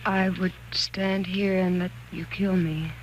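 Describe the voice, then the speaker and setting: An actress playing a troubled, spellbound woman in a 1940s radio thriller, speaking in a slow, subdued way. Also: on the low side, little pitch movement. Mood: entranced, submissive. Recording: dull, noisy 1940s radio recording.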